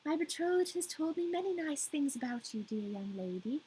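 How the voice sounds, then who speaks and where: Refined female court crow for a fairy tale, played by a woman: high, polite, lilting. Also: animated, normal speed, mellow, rough recording. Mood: genteel. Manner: genteel, polite.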